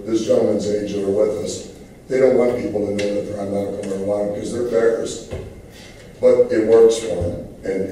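Hoarse man in a roomy chamber. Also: fairly low pitch, warm tone, a little hiss or hum. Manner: earnest, plainspoken, many fillers. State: losing his voice. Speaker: public commenter at city meeting. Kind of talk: speaking at public comment. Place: large council chamber, standing at podium. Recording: roomy, distant council-chamber recording.

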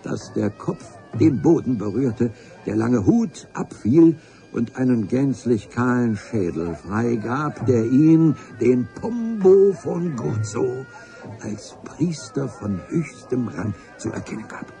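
A wry adventure narrator in a radio play, with music behind him. He tells the tale slowly and ornately at a middling pitch. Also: big pitch swings, vocal fry, warm tone, full character voice. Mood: dramatic storytelling. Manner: ornate, deliberate storytelling.

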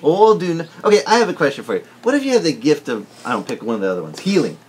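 A middle-aged man whose first language is Italian delivers an animated teaching sermon, gesturing broadly and putting questions to his listeners. His voice sits a little high for a man. A faint hiss of noise runs under him in the wood-paneled room. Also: rapid-fire. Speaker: pastor.

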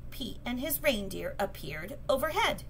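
A middle-aged woman reading a children's picture book aloud in an expressive, sing-song manner. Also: on the high side. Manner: sing-song, expressive, slow and deliberate delivery for young children. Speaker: storytelling teacher reading aloud. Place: small classroom or office, indoors. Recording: clear background room noise.

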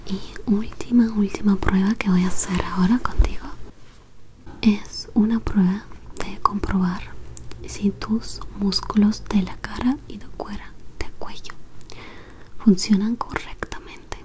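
A young woman performs an ASMR role play as a clinic examiner. She whispers nearly throughout, very close to the mic, and takes her time with short, soothing instructions. Her pace is very slow. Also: medium pitch, steady tone. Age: young adult. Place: small indoor room.

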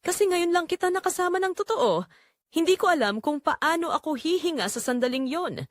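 Romantic lead played by a woman: confident man in his twenties, flirtatious, intense, expressive pitch, audio drama. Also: a little fast, no echo, studio quality. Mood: intense longing.

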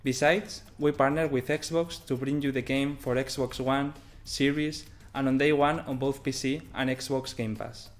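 A man's voice: mid-range voice, fairly even intonation, measured pace, few pauses. A man in his thirties giving a scripted presentation as a game developer. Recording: music behind the voice. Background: background music.

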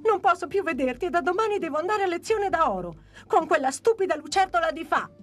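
A woman's voice: very high-pitched, very animated, fast, faint music behind. A woman voicing a timid, cheeky young dinosaur boy in a dubbed cartoon, whiny and eager. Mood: sulky.

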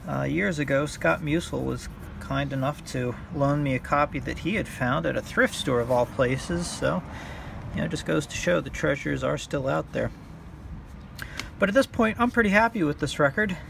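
A man talking through a hands-on repair tutorial in a halting, unscripted way, thinking aloud as he works outdoors. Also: fairly high pitch. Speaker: hobbyist demonstrating a repair. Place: outdoors by a car on a windy day. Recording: clear outdoor background noise.

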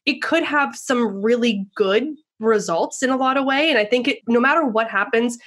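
A middle-aged woman from Canada, a guest on a podcast over a remote call. Her voice sits slightly high, and she talks in a chatty, upbeat way. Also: normal speed, fairly bright tone. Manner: chatty, upbeat, laughs easily. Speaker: guest, Instagram consultant. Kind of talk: casual interview chat.

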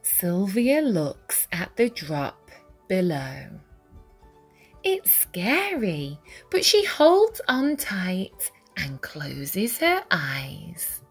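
A woman reading a children's picture book aloud with deliberate, dramatic phrasing for young listeners. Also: on the low side, huge pitch swings, husky. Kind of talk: expressive read-aloud storytelling. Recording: music behind the voice. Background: music.

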